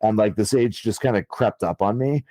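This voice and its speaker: A man's voice: on the low side, moderate pace, dark tone, no echo, clean studio sound. A man in his thirties, an author guest telling his story in a casual, rambling way with many fillers and easy swearing. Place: podcast studio.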